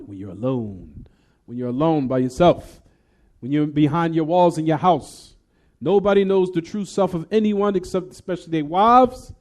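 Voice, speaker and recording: Fast-talking man teaching a class. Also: fairly high pitch, warm tone. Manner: reads quoted text then explains, asks the class rhetorical "what?" questions; switches between English and recited Arabic. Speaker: Islamic teacher. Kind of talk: lesson explaining a text to a class.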